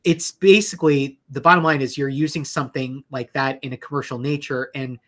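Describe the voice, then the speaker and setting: Male legal expert for an interview, mid-pitched voice, conversational and measured, stressing points of caution. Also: fairly even intonation, fairly bright tone. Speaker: lawyer, interview guest.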